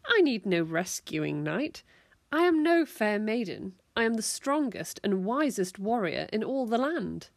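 A woman's voice: mid-range voice, sing-song, a little slow, creaky, no echo, heavily acted. Feisty young girl for a children's story, proud and mock-heroic, read by a woman, dry close recording. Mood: defiant, proud. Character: feisty little girl, defiant self-styled warrior princess.

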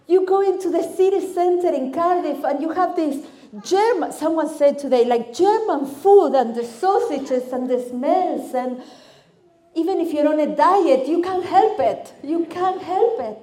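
A woman with an Argentine Spanish accent, Spanish being her first language, preaches at an unhurried pace in a high, mellow voice. She speaks expressively, piling up vivid lists as she tells her stories. The sound carries the reverb of a church hall. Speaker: guest speaker. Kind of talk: sermon.

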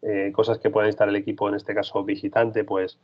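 A man's voice: lowish voice, completely flat delivery, a little fast. A man who works as a freelance basketball reporter, answering interview questions in a thoughtful, rambling way with frequent restarts. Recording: dull lo-fi sound.

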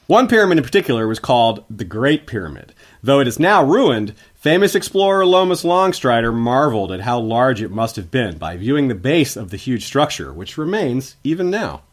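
A man's voice: mid-range voice, big pitch swings, normal speed. A man speaking as a guest history expert, giving scripted, articulate explanations in an informative lecturing manner.

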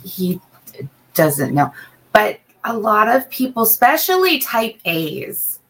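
A woman's voice: medium pitch, big pitch swings, slow, low-quality recording. A middle-aged woman co-hosting a podcast, chatting in a rambling way with many restarts and ums. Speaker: co-host and assistant. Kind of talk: casual podcast chat.

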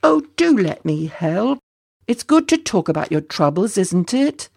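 A storyteller as an eager, high-pitched young groom boy. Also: very animated, measured pace, vocal fry, darkish tone, husky, full character voice. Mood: gently coaxing. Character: earnest, kindly young groom boy, timid but eager.

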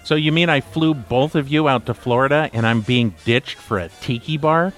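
A man's voice: medium pitch, normal speed, a bit bright, faint music behind, close to an everyday voice. A man playing an exasperated podcast host and dad in an audio drama, delivered in a natural everyday voice.

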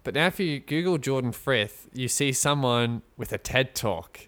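A man co-hosting a podcast in casual chat, rambling and joking with halting restarts. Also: medium pitch, lively intonation, a bit bright. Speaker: podcast co-host. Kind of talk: casual chat, mock sponsor read.

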